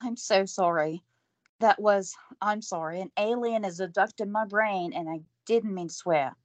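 Actress as starstruck young interviewer, babbling apologies, dry close sound. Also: female voice, mid-range voice, measured pace, no room sound, lightly acted. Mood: flustered. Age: young adult.

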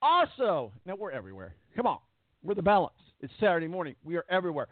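Raspy sports radio host on a phone line. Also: male voice, high-pitched, huge pitch swings, fast, no room sound. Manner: rambling, repeats words, many fillers. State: losing his voice. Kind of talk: casual radio show chat. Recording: tinny phone-line quality, dry.

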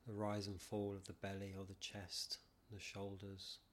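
Male meditation guide, lowish voice, flat even tone, calm, measured, long pauses. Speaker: meditation teacher, podcast guest. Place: non-soundproofed room.

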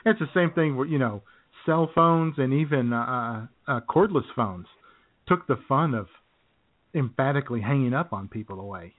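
A man co-hosting a comedy podcast, rambling and joking through his stories. His voice sits at an ordinary middle pitch. The sound is thin and tinny, like a phone line. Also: expressive, normal speed. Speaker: podcast co-host. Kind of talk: casual comedic chat.

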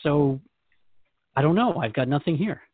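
A man's voice: fairly high pitch, expressive, moderate pace, breathy edge, no room sound, phone-quality sound. A man hosting a conversational podcast interview, speaking in an earnest, questioning and reflective way. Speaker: host interviewing a guide.

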